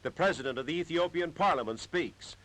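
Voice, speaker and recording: A man's voice: fairly high pitch, brisk, fairly bright tone. An American man narrating a 1930s newsreel in a dramatic, declamatory manner on an old period soundtrack.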